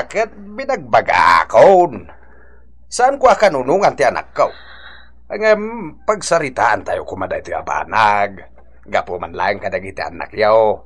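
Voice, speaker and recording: A man's voice: on the low side, wildly swooping pitch, unhurried, fairly bright tone, exaggerated voice. A man with an Ilocano accent playing an exasperated, preachy older father in a radio drama. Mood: weary concern. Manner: scolding, preachy, exclaiming. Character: scolding, exasperated older father-in-law, concerned grandfather.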